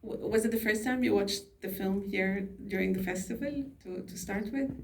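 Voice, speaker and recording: A woman's voice: medium pitch, moderate pace, recorded from a distance, slight background noise. A woman moderating an audience Q&A after a film, inviting questions in an encouraging way. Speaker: festival Q&A moderator. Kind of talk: hosting an audience Q&A. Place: large cinema auditorium, on stage. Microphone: handheld mic through the hall speakers, filmed from the audience.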